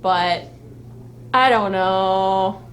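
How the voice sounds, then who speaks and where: A woman's voice: mid-range voice, drawn-out words, a crowd in the background. A woman co-hosting a casual live fan chat, speaking informally and bluntly and swearing freely. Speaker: fan vlog co-host.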